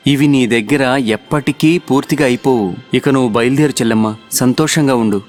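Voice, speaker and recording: An earnest, hardworking young village man, played by a male actor in a children's cartoon story. His voice sits at a medium pitch and his delivery is simple and dramatic. Music plays softly behind him. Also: moderate pace, natural voice. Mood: reassuring. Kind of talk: animated children's moral story dialogue.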